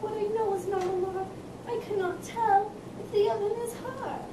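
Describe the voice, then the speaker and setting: A woman playing a timid young girl, reciting slowly and plaintively in a puppet show. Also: very high voice, steady tone. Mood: helpless. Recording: noisy echoey room from far off.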